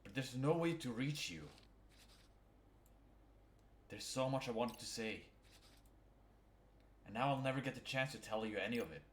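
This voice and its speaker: A man's voice: mid-range voice, normal speed, pauses often, reverberant, natural voice. A man voicing an earnest, awkward teenage boy with hesitant delivery in a video game fandub. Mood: sad, longing.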